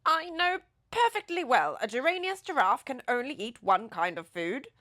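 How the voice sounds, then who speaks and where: A woman's voice: sits high, sing-song, moderate pace, fairly bright tone, voice pushed up. A woman voicing a grand, know-it-all but generous male Duke in a storybook, with a pompous, declamatory manner. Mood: knowing, impatient.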